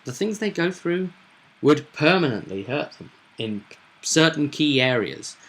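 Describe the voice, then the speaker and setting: A man's voice: a little high, big pitch swings, measured pace, a bit bright. A man hosting a casual recap of a tabletop roleplay session, telling it as a story and acting out bits of dialogue and sound effects.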